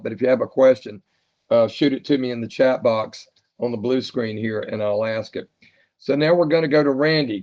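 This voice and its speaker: An American man with a mid-pitched voice hosts a radio net in a casual, informal way, handing over between speakers. The audio is a little dull and lo-fi. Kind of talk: casual moderating of questions.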